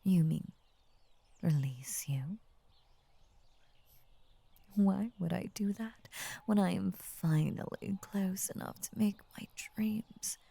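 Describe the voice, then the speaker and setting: A woman voicing a tender, possessive lamia in an intimate ASMR roleplay, whispering slowly and soothingly with formal, coaxing words. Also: mid-range voice, mellow, no echo, exaggerated voice. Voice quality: breathy, whispery. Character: possessive, tender female lamia, half snake, doting and unnerving.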